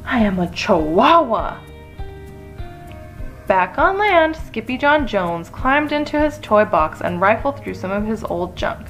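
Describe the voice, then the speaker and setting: A woman storyteller giving an expressive read-aloud of a children's picture book. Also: a little high.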